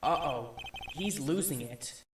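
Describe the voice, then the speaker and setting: A man's voice: fairly high pitch, lively intonation, slow pace, a bit bright, a little hiss or hum. A young man playing an earnest, easily flustered defense lawyer in an emphatic video game fandub. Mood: flustered, worried. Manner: emphatic, theatrical.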